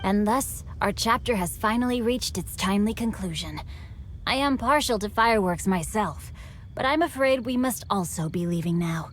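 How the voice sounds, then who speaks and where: A woman's voice: medium pitch, lively intonation, normal speed, slight background noise, natural voice. A woman voicing an eloquent, playful young noble swordsman in a game cutscene, formal and teasing.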